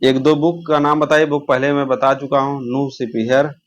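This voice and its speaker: A man's voice: medium pitch, flat delivery, normal speed, dull audio. Man teaching, question by question. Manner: didactic, asks questions then answers them, repeats key terms. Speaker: exam coaching teacher. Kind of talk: question-and-answer exam lesson.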